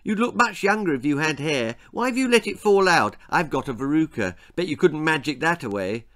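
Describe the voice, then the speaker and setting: A man's voice: fairly high pitch, lively intonation, a little fast, fairly bright tone. A man voicing a cheeky, blunt small boy who gabbles on and pesters in a story. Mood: blunt, pestering.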